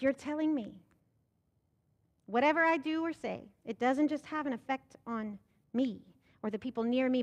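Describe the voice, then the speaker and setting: Young American woman pastor, high voice, emphatic sermon delivery at a pulpit mic. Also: darkish tone, in a large hall. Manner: rhetorical questions, emphatic rising delivery. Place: church sanctuary. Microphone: pulpit microphone.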